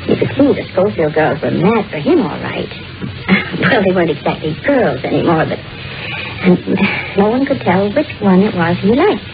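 A woman's voice: on the low side, very animated, moderate pace, creaky. An actress playing a chatty, gossipy neighbor woman in a 1940s radio drama, talking in a folksy way. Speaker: Mrs. Graydon, a gossipy neighbor. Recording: dull old radio broadcast with music.

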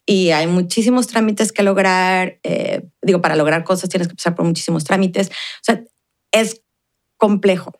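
Earnest low-voiced woman. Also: normal speed. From Mexican border region. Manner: earnest, reflective, with many fillers. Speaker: podcast guest. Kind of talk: casual conversation.

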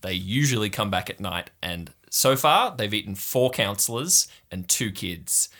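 A man's voice: on the low side, animated, measured pace, sharp tone, heavily acted. Man playing a bossy, intense, scheming young girl. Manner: commanding, clipped, menacing insistence.

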